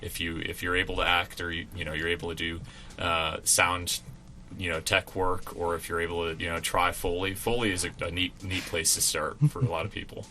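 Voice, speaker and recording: Male radio theater guest, deep and crisp-edged, casual rambling talk, light background noise. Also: little pitch movement, fairly quick, bright, forceful tone. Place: college radio station.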